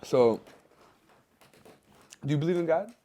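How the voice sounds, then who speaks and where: A man from Venezuela hosting a podcast, talking in a relaxed, reflective way and asking questions. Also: fairly high pitch, unhurried. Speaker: podcast host. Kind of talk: casual conversation.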